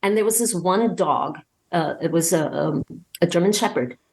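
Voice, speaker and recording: Low-pitched woman, emphatic and halting. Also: lively intonation, gravelly, darkish tone. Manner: emphatic, halting, repeats words as she goes. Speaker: podcast guest, audio drama creator and voice actor. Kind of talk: casual interview talk, anecdotal storytelling.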